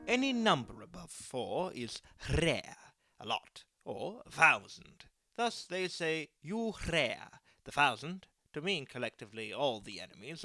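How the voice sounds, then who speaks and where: A man's voice: medium pitch, bright, forceful tone, no room sound. A man reading scripted storybook narration. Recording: music behind the voice.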